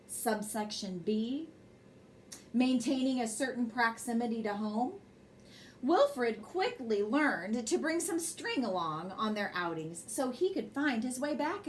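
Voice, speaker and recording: Lady reading a kids bedtime book, takes it slow and expressive, bit of background noise. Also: a little high. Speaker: storyteller reading a children's picture book. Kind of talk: bedtime story read aloud.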